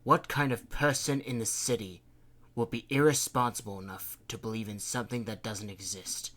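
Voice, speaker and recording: A man voicing a stern, dismissive male mayor in a lowered voice. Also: fairly even intonation, moderate pace, fairly bright tone, natural voice. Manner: curt, dismissive, lower-pitched.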